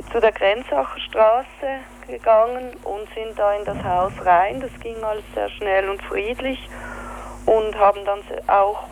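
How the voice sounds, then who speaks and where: A woman with a Swiss German accent, telling in an interview what happened, halting with fillers and searching for words. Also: medium pitch, a little hiss or hum, rough recording. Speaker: activist interviewee. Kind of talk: spoken account in an interview.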